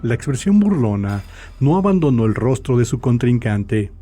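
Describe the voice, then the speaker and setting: A man's voice: medium pitch, very animated, moderate pace, mellow. A man narrating scripted, dramatic fiction as part of an audiobook. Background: background music.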